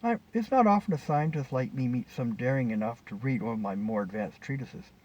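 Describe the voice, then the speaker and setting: Man reading as smug, boastful male scientist, lively delivery. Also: medium pitch, brisk, highs cut off, close to an everyday voice. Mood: reassuring. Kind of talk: short story dialogue read aloud. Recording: distant mic with faint background noise.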